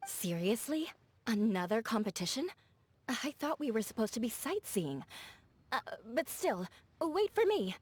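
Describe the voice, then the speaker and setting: A woman's voice: a little high, lively intonation, a little slow, husky. A woman voicing a proud, blunt young knight with a formal manner in a video game. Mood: exasperated. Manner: haughty, formal, awkwardly kind. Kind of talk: scripted game voice acting.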